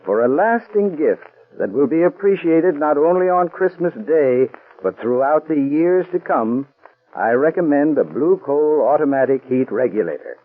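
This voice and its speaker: A man's voice: expressive, normal speed, narrow, tinny audio. A folksy heating salesman. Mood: cordial. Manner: folksy, warm-hearted sales pitch. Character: friendly male heating expert giving greeting. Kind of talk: 1940s radio ad. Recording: old radio broadcast, thin band.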